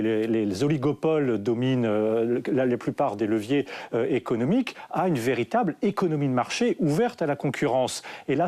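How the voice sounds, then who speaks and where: Male correspondent with very lively intonation. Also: mid-range voice. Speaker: news correspondent. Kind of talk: live analytical news report.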